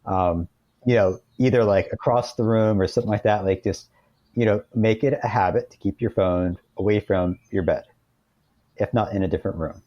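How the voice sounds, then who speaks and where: An American man speaking as an interview guest, explaining things conversationally in a thoughtful, halting way. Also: on the low side, dark tone, muffled recording.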